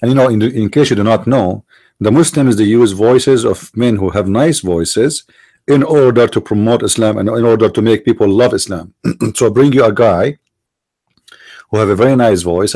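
A middle-aged man with an Arabic accent hosting a commentary monologue, halting, restarting words and stressing his points. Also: mid-range voice, darkish tone. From Middle East. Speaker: online show host.

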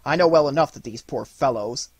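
A man's voice: medium pitch, brisk, dry recording, close to an everyday voice. Man as a swaggering storybook boy. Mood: boastful. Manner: assertive, swaggering. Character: boastful young boy playing commander of toy soldiers.

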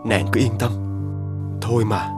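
A man voicing a homesick, courteous young scholar in an animated fairy tale, polite and earnest. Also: lowish voice, unhurried, over music, lightly acted. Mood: earnest, longing.

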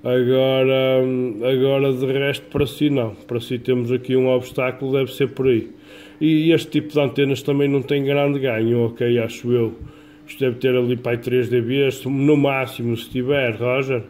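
Male radio hobbyist, soft dark tone, colloquial chat, light noise behind. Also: medium pitch, level tone, normal speed. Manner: colloquial, folksy. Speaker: amateur CB radio operator. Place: small home radio shack. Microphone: phone filming the radio, off-camera speaker. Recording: clear background noise, home-made phone recording.

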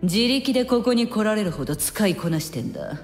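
An actress playing a brash, cynical woman with blunt, mocking, rough speech in an anime game cutscene. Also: mid-range voice, expressive, fairly quick, natural voice. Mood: taunting. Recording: long reverb, music behind.

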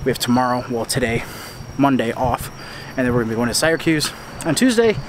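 A man's voice: medium pitch, sing-song, fast. A young American man talking casually and earnestly to camera as he walks, recorded on a noisy street outdoors. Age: young adult. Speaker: tour videographer and vlogger. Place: walking on a town street at night. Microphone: camera at arm's length.

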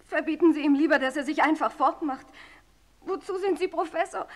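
Woman acting a high-pitched, reproachful wife. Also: fairly quick, a little mellow, close to an everyday voice. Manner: accusing, agitated. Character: upset, reproachful wife of a patient. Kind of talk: German radio play acting. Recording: long reverberant hall sound.

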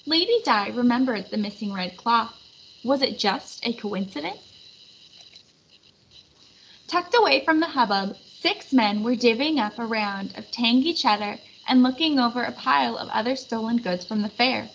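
A woman reading a children's storybook aloud in an animated narration style. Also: fairly high pitch, moderate pace, creaky. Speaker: storybook reader. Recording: slightly muffled, faint background noise.

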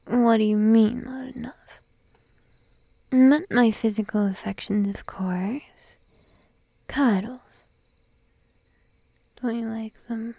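A woman voicing a shy, needy young sheep-girl girlfriend in an intimate ASMR roleplay, soft, hesitant and drowsy, mostly whispering. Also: fairly high pitch, steady tone, creaky, no room sound, exaggerated voice. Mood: clingy, coaxing. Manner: timid, hesitant, drowsy, slow and cooing.

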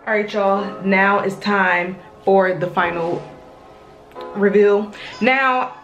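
A woman's voice: mid-range voice, fairly even intonation, takes it slowly, lo-fi sound, music in the background. Chatty young woman in an echoey kitchen. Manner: chatty, addresses viewers as y'all, emphatic. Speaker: vlogger. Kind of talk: casual cook-with-me vlog. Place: small apartment kitchen.

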